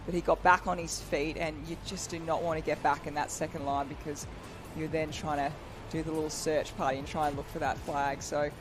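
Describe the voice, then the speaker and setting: A man's voice: on the high side, flat delivery, fairly quick, music in the background. A man giving expert colour commentary at a live sports event, enthusiastic and explaining technique in a chatty way.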